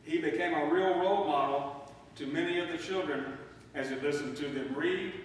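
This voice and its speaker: A middle-aged man reading a memorial eulogy in a measured, deliberate way in a church. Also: a little high. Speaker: eulogist, son of the deceased. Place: church sanctuary. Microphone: distant microphone in a large room. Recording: distant mic, echoey hall, faint noise.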